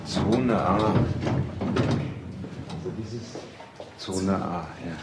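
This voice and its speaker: A man's voice: low voice, very slow, full character voice. An actor playing a curious but cautious male professor in a German radio play, speaking tentatively. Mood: wary. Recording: echoey room, some background noise.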